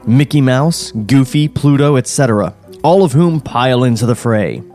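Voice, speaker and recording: Man, podcast host, reading screenplay directions, dry, wry, mid pitch, faint music. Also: animated, moderate pace, a little mellow. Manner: dry, wry, with chuckles and sarcastic asides. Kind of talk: script table read, narrating stage directions. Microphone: studio podcast mic.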